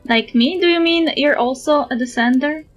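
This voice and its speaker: A woman's voice: high voice, normal speed. A woman from Europe livestreaming a game, reading dialogue aloud and chatting with her viewers. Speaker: livestreamer, VTuber. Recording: music behind the voice.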